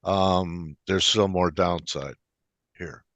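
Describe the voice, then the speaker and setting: Male market-show interviewer, deep voice, dry sound, conversational questioning style. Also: takes it slowly, a bit bright, no echo, dull audio. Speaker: interviewer, host.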